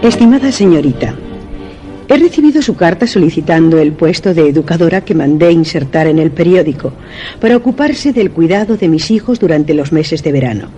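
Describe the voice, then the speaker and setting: Formal lady, dark mellow voice. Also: low-pitched, expressive, faint music behind. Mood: courteous, controlled. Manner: formal, measured, courteous. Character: formal, exacting lady employer reading out her letter. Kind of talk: radio drama acting.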